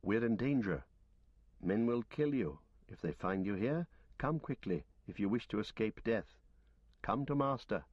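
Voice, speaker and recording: A man voicing an earnest young male hobbit who coaxes and pleads softly but firmly. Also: on the low side, animated, measured pace, dry recording, lo-fi sound. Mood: urgent coaxing. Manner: soft, pleading, firm.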